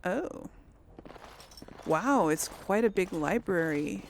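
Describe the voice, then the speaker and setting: Creaky-voiced woman narrating her gameplay. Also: lowish voice, lively intonation, slow, a little hiss or hum. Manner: chatty, thinking aloud. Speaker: gaming video host. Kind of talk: casual playthrough commentary with role-played dialogue.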